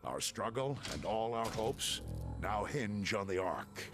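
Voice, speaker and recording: A noble robot commander, steady and solemn, with a voice that rises and falls a great deal. He speaks slowly and gravely. Loud music plays behind him in the game mix. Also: fairly low pitch.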